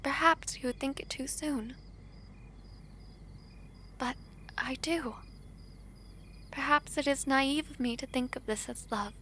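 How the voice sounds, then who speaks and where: A woman playing a gentle, earnest young princess in a romantic audio drama, speaking softly in formal, hesitant phrases. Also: sits high, takes it slowly, long pauses, a little hiss or hum, heavily acted. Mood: earnest, tender.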